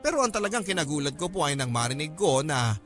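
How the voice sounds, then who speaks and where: A man's voice: fairly high pitch, big pitch swings, fast talker, a bit bright. A man narrating a scripted first-person story as a radio host, polite and conversational. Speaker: radio drama host reading a letter. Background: background music.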